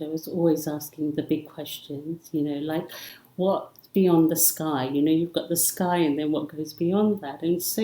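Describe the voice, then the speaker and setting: Low, mellow woman in an echoey room. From born in Africa, raised in India and England. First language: not English. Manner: earnest, flowing, with frequent "you know". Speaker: podcast guest, psychologist. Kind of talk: reflective interview talk.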